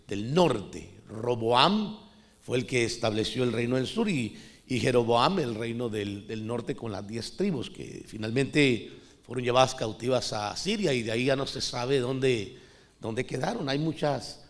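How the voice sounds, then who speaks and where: A middle-aged man from Costa Rica preaching a sermon in a conversational way, often calling the listeners brother. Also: medium pitch. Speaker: pastor.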